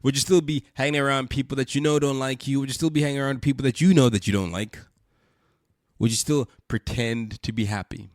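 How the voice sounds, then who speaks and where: A man's voice: medium pitch, steady tone, brisk, no room sound, clean studio sound. A man hosting a podcast with a congested, stuffy voice, speaking earnestly and repeating rhetorical questions. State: congested from a cold. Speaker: podcast host. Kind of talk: reflective spoken monologue.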